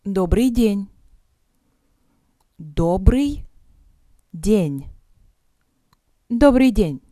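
A woman's voice: mid-range voice, lively intonation, extremely slow, warm tone, dry recording, clean studio sound. A woman teaching vocabulary in a drill, saying each phrase with careful enunciation, then breaking it up word by word and repeating it. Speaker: language teacher.

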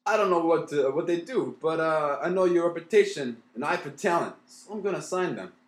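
Earnest, stammering male producer, played by a man for radio play, lightish pitch, echoey room. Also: lively intonation, a little fast, close to an everyday voice. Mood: flustered, earnest. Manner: hesitant, stammering. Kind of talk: mock old-time radio play.

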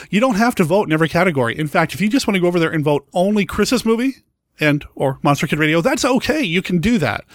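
A man's voice: a little high, no room sound, clean studio sound. A man talking as a podcast host about films, in a conversational, enthusiastic fan's way. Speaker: podcast host, film segment presenter. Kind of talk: film review podcast monologue. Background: music under the voice.

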